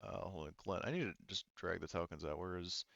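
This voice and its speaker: A man running a tabletop game casually as game master, thinking aloud haltingly as he works through rules and rolls. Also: deep voice, gravelly, a bit bright, dry recording, dull audio. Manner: halting, thinking aloud while rolling dice.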